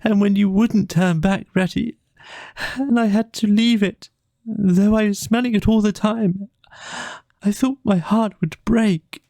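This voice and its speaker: A man voicing a small, gentle, homesick mole who stammers and gulps through his words in an audiobook story. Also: high voice, fairly even intonation, unhurried, dry recording, voice pushed up. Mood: sobbing, pleading. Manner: stammering, broken speech with gulps.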